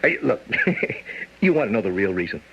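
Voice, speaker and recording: A man's voice: on the low side, very animated, normal speed, creaky. A man playing a jovial but unnervingly insistent army buddy in a radio drama, with an American accent. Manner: breezy, chuckling, insistent. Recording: muffled, noisy vintage radio recording.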